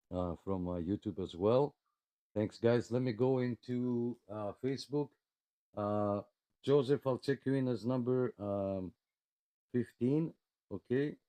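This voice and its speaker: A man's voice: fairly low pitch, warm tone, clean tone, dry recording. A man casually hosting a live radio check-in, friendly and polite, with plenty of hesitations. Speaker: host of a CB radio club live check-in net.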